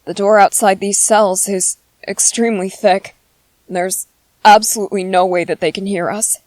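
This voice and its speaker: A woman voicing a gentle, clever young male soldier in an ASMR roleplay, speaking softly and politely with hesitant ums. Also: mid-range voice, fairly even intonation, moderate pace, dry recording, lightly acted. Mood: calm, reassuring.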